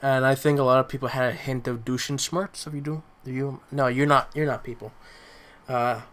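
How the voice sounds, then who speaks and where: A young man who hosts a podcast, chatting casually and haltingly, repeating words as he thinks. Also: mid-range voice, steady tone, a bit bright. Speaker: podcast host and amateur voice actor. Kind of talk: casual chat.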